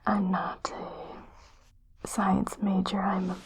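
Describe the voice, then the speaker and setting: A woman playing a calm, reassuring sci-fi doctor in a hushed, soothing whispered ASMR roleplay. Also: medium pitch, slightly rough, full character voice. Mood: gentle reassurance. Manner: slow, soothing, hushed delivery. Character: calm, reassuring female doctor on an alien-planet expedition.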